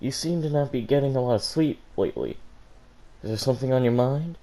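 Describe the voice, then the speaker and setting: An earnest teenage girl from a story, played by a man, concerned and gently questioning. The tone is very mellow and rounded. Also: medium pitch, a little fast, pitched above the performer's own voice, natural voice.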